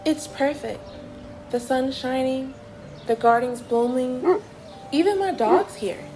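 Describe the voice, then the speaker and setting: A woman plays a yearning female traveller in a radio drama. Her voice is high and she speaks slowly, hesitant and full of wonder, with faint music underneath. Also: mellow, poor audio. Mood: delighted.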